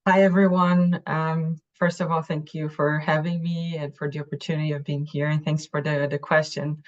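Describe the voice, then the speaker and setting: A soft-spoken woman with a low voice and a Brazilian accent talks on a panel over a muffled, lo-fi call. She tells her personal story in careful, halting phrases. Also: flat delivery. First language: Portuguese. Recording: dull, lo-fi online call audio.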